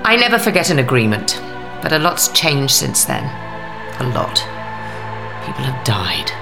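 A woman playing an earnest, guarded secret agent speaking gravely in an audio drama. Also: rumbling low, huge pitch swings, unhurried, over music, full character voice. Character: guarded Torchwood agent holding a captive, earnest.

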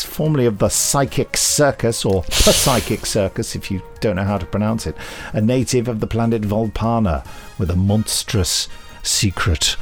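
Man, podcast host, casual news, playful asides, faint music behind. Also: fairly low pitch.

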